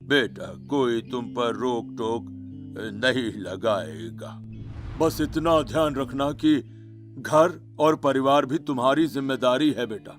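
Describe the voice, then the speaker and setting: A man voicing a frail, gentle old grandfather in a cartoon story, forgiving and slow. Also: medium pitch, faint music behind, voice pushed down, close to an everyday voice.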